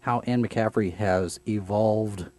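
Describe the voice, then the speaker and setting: A man's voice: on the low side, fairly even intonation, takes it slowly, darkish tone. A young man from Texas with no regional accent, chatting casually and rambling with many restarts. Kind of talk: casual conversation.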